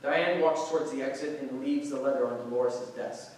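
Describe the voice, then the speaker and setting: A man's voice: medium pitch, fairly even intonation, a little fast, a little mellow. Echoey man reading stage directions. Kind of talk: scripted narration, staged reading. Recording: very echoey, distant, faint noise.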